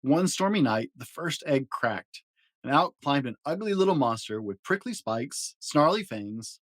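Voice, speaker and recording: A man reading a children's picture book aloud as a storyteller, with an expressive, dramatic delivery. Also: mid-range voice, measured pace, no room sound.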